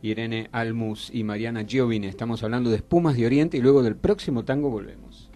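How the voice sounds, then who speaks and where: A man's voice: mid-range voice, fairly even intonation, a little fast, over music. A man with an Argentine Spanish accent hosting a conversational arts interview on radio, attentive and often checking in with short questions. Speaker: radio host interviewing guests.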